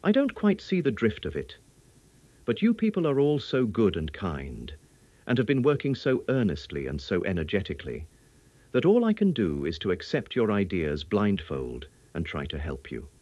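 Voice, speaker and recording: British man playing grieving young English lord, earnest, courtly, emotional, low voice with sweeping intonation, audiobook, dulled recording. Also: wildly swooping pitch, moderate pace, a little mellow, dry recording, highs cut off. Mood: earnest, humble.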